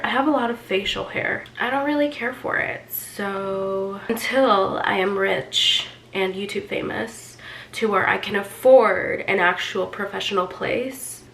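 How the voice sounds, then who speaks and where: A young woman who is sick and hoarse chats casually to camera in a home vlog, her voice raspy and often sinking toward a near-whisper. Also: mid-range voice, a bit bright, slight background noise. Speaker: lifestyle vlogger. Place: home, indoors.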